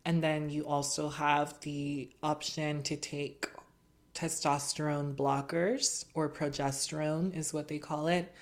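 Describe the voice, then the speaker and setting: A young woman as an interview guest, talking in an earnest, measured way with many fillers. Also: low voice, flat delivery. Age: young adult. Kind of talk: casual interview chat.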